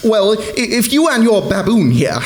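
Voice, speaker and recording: An actor playing a nervous, harmless inventor, hesitant and stammering in a comic radio play. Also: male voice, high voice, big pitch swings, normal speed. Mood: alarmed. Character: nervous, harmless male inventor, timid husband. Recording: roomy live stage recording.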